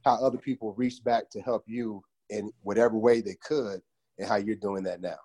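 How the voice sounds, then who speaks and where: A man's voice: fairly low pitch, steady tone, normal speed, dark tone, husky. An American man hosting a conversational interview, reflecting thoughtfully and affirming his guest. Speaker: interviewer, book podcast host.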